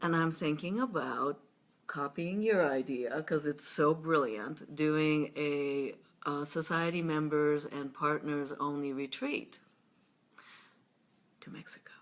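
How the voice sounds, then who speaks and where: A woman hosting a casual live video chat, playful and teasing, with quick asides. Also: sits low. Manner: slow breathy whisper when demonstrating a seductive voice. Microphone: webcam or laptop mic on a live stream.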